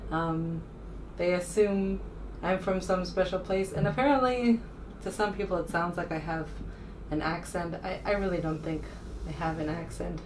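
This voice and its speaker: A woman's voice: lowish voice, normal speed, a little mellow. A woman from the United States talking casually as a vlogger, rambling informally and hesitantly as she thinks aloud. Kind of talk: casual rambling vlog talk to camera. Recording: distant mic with faint room noise.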